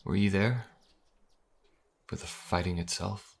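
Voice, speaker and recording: Guy doing nervous young priest for audio drama, deep raspy voice w/ fry, stammers, earnest n polite. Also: slow pace, slight background noise, exaggerated voice. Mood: earnest, subdued. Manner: hesitant, stammering. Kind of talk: fantasy audio drama acting.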